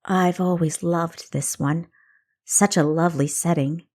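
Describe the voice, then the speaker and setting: A reserved elder sister who serves as a city guard in a fantasy audiobook, voiced by a woman narrator. She is practical and matter-of-fact, with a slightly low woman's voice. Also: steady tone, measured pace, a little mellow. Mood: pleased, measured.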